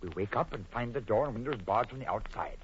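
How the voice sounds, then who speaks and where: An actor playing a high-strung trapped man who insists on reason and protests indignantly, in a vintage radio horror drama. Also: animated, fast, husky. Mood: forced calm. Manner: urgent, theatrical, protesting. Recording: muffled, noisy old radio broadcast.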